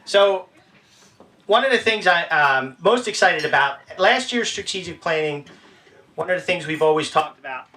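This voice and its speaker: High, bright-voiced man at a distant mic. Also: animated. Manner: halting, with frequent restarts. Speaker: city mayor on a panel. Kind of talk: panel answer, casual speech. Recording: distant mic, faint background noise.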